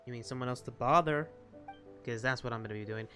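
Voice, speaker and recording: A man's voice: medium pitch, fast, music in the background. A man giving casual, chatty commentary while he plays a video game. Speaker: gamer, video creator.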